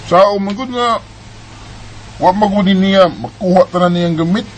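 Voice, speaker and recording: A man's voice: high voice, unhurried, slight background noise. A man voicing an easygoing young male friend offering a place to stay in a radio drama. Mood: friendly. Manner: casual, friendly.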